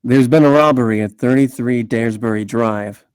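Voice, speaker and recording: A man playing a brooding, guilt-ridden noir narrator in a script read, slow and grave. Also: medium pitch, darkish tone, clear, resonant tone, no echo, close to an everyday voice.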